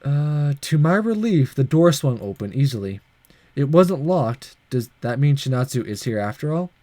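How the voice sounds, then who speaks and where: A man's voice: medium pitch, big pitch swings, normal speed, mellow, no room sound. A man hosting a gaming video, reading a visual novel aloud with casual comments and stumbling over the odd line. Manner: stumbles and rereads lines.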